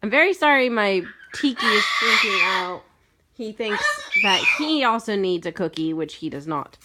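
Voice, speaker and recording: A woman's voice: mid-range voice, expressive, moderate pace, sharp tone, a little hiss or hum. Stuffy-nosed woman chatting through a demo. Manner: chatty, rambling, with many ums and self-corrections. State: stuffed up with a cold. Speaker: parrot owner giving a training demo. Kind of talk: casual how-to demonstration.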